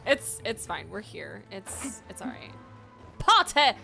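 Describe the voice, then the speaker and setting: A young woman streamer giving casual, giggly, excitable commentary while playing a game. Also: medium pitch, slow, creaky. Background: game music.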